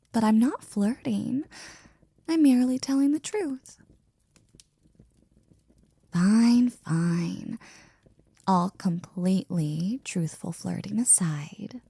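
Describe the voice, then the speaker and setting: A woman playing a flirty, devoted maid in an intimate ASMR roleplay, breathy and often near a whisper, with a teasing, affectionate manner. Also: mid-range voice, warm tone, dry recording, full character voice. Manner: teasing, affectionate, slow and drawn out.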